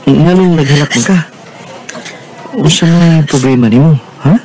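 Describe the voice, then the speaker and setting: An actor playing a casual, joking office buddy in a radio drama, with a Filipino accent. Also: male voice, fairly high pitch, measured pace, a little mellow. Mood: casual, concerned. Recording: noisy, with ambience behind.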